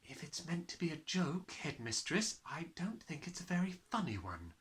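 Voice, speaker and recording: A reader voicing a gentle young female teacher who objects quietly and with restraint. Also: mid-range voice, very animated, normal speed, pitched above the performer's own voice. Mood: disapproving. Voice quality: soft, restrained.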